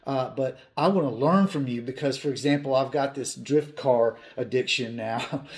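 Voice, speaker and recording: A man's voice: medium pitch, a little mellow. A man in his fifties hosting a hobby channel, talking casually to viewers in an earnest, plain-spoken way with emphatic repetition. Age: 50s.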